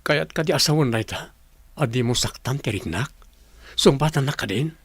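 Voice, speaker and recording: Man acting lively, confident office suitor. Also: medium pitch, moderate pace, slightly rough, no room sound. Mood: earnest. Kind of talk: Ilocano radio drama acting.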